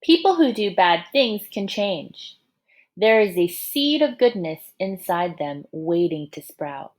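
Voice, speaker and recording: A woman reading a picture book aloud to young children like a teacher, expressive and encouraging, pausing to ask the listeners questions and add asides. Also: medium pitch.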